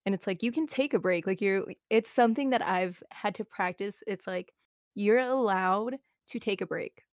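A woman's voice: mid-range voice, no echo, clean studio sound. A young woman in her early twenties, a streamer talking casually and earnestly with many fillers. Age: young adult. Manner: fast, filler-heavy, earnest talk. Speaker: guest streamer. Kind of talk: casual chat.

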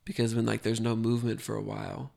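A man's voice: fairly low pitch, flat delivery, fast, creaky, mellow. A young man co-hosting a casual podcast, rambling and joking while congested from being sick. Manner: rambling, jokey, self-deprecating. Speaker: podcast co-host. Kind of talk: casual chat.

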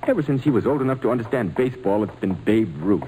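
A man plays an anxious father pleading in old radio drama style. His pitch moves a lot, over faint recording noise. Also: expressive, fast talker, warm tone, lightly acted, poor audio. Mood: worried, pleading. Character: worried, pleading father of a sick boy. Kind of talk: 1940s American radio drama acting.

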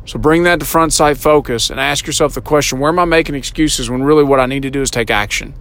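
A man with a slightly hoarse voice, hosting a solo motivational podcast, earnest and repeating himself, often asking "right?" and slowing down to stress a point. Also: mid-range voice, a bit bright. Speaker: podcast host.

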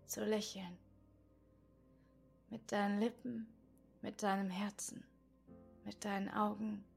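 A woman guiding an inner-child meditation in a slow, soft, partly whispered voice. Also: medium pitch, creaky. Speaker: meditation guide.

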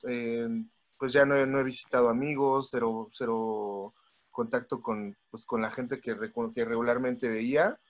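A man's voice: mid-range voice, fairly even intonation, normal speed. A man with a Mexico City accent chatting casually, slangy and sweary, often repeating words as he goes. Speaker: podcast panelist. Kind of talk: casual chat.

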